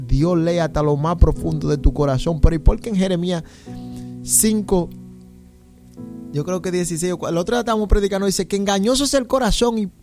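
A man's voice: high voice, fairly even intonation, fairly quick, over music. A Dominican man preaching an informal, testimonial sermon, fervent and exclamatory, peppered with refrains of praise. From San Juan de la Maguana, Dominican Republic.

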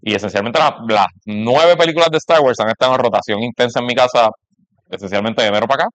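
A man's voice: medium pitch, quick pace, a bit bright, no room sound. A man with a Puerto Rican accent chatting casually and telling anecdotes in conversation. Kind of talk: casual conversation about parenting.

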